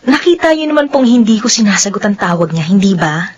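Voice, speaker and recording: A woman's voice: medium pitch, sing-song, brisk, a little mellow, slightly rough, muffled recording. A woman acting an earnest, polite and plaintive young daughter in a melodramatic radio drama, with music underneath. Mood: defensive.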